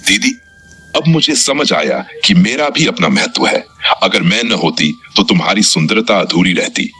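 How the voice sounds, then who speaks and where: A man narrator voices a young spirit sister of the dark moon in a storybook tale, full of self-doubt and sadness. The voice is low, bright and forceful, with some rasp. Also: expressive, normal speed, heavily acted. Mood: relieved, accepting.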